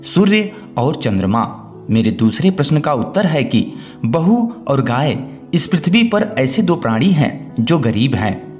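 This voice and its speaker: A man's voice: a little high, darkish tone. A man voicing a clever, dutiful young daughter-in-law in a folk tale, explaining herself politely and with assurance. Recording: music bed under the voice.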